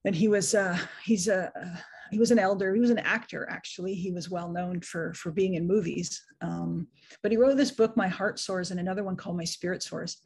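A woman's voice: lowish voice, fairly even intonation, brisk, darkish tone, breathy edge. A middle-aged woman on a video call from home, telling a story in a reflective way with frequent restarts. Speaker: guest speaker. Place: home room, indoors. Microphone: video call webcam.

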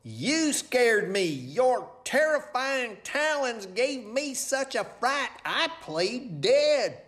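Skittish possum, picture-book character, male reader acting: theatrical, high, stretched-out phrases. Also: big pitch swings, drawn-out words, a bit bright, exaggerated voice. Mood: shaken accusing. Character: skittish, dramatic possum, shaken and accusing. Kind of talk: children's storybook character voice.

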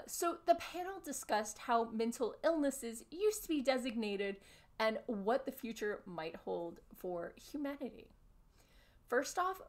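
Higher-voiced woman explaining earnestly. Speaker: video presenter summarizing a discussion panel. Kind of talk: explanatory talk to camera. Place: small furnished room at home, indoors.

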